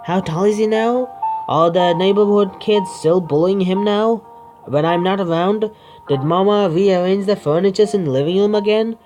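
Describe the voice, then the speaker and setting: Guy gaming creator reading visual novel lines out loud, kinda halting, bit of music under it. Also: high-pitched, low-quality recording. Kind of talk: game playthrough, reading narration aloud with asides. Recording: music behind the voice.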